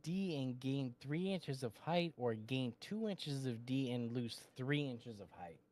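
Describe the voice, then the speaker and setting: A man's voice: medium pitch, a little slow, no echo. Young guy reading chat questions, voice jumps around a lot, teasing. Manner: animated, teasing, trails off quietly.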